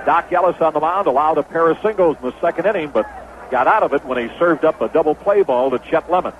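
A man's voice: on the high side, fast talker. American guy doing 70s radio baseball play-by-play, bit of noise, muffled old broadcast. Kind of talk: live 1970s radio baseball commentary.

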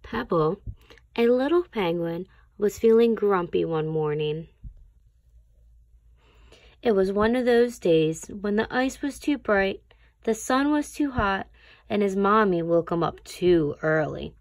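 Unhurried woman reading a storybook. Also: medium pitch, animated, no room sound. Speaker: teacher reading a picture book. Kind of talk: read-aloud children's storybook narration.

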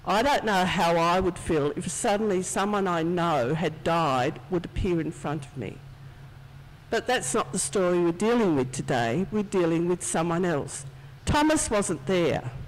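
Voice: medium pitch, lively intonation, unhurried, a little hiss or hum. A speaker giving a children's talk in church, chatty and conversational as they tell a story. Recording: distant, reverberant church hall sound.

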